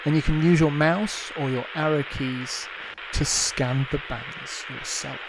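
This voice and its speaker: A man hosting a casual live demonstration, explaining things in a measured way. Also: fairly high pitch, fairly even intonation, background noise. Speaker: livestream host. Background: music.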